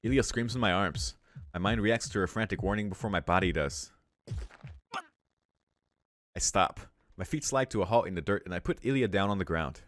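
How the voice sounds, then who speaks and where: A man's voice: on the low side, moderate pace, pauses often, slight background noise. A man reading visual novel narration aloud as a let's play host, with casual asides.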